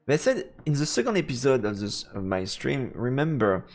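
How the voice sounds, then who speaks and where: Gravelly male streamer. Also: mid-range voice, very animated, normal speed. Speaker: game streamer. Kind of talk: live let's play, commentary and reading aloud.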